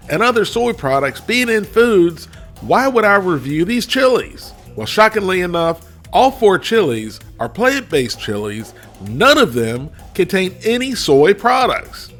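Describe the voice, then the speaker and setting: Congested man hosting a lively food review. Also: high voice. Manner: folksy and chatty, talks straight to viewers. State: congested, voice a little cracky. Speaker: food reviewer, channel host. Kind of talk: casual video food review.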